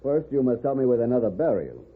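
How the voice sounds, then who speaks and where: An actor playing a suave, menacing gentleman villain in a radio western, speaking formally and deliberately with cool threat. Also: medium pitch, sing-song, slightly rough. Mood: quiet menace. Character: suave, menacing Mexican gentleman villain, scheming and coldly confident. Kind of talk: old-time radio western acting. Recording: muffled, noisy old radio recording.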